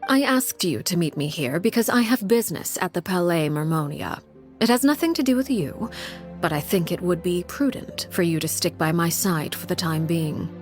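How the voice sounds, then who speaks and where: An actress playing a poised, coolly menacing aristocratic diplomat in a video game, speaking in a formal, measured manner. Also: female voice, lowish voice, animated, in a large hall, close to an everyday voice. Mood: cool, businesslike. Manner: formal, measured, veiled threats. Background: music.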